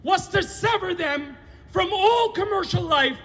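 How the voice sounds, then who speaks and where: A man activist giving a fiery, sarcastic speech at an outdoor rally, often shouting. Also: extremely high pitch, measured pace, long reverb tail.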